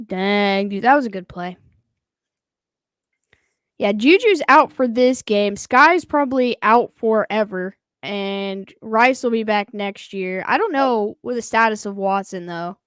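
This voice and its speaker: A woman co-hosting a live football stream in casual chat, excitable and quick to react to big plays. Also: medium pitch, smooth, dry recording, studio quality.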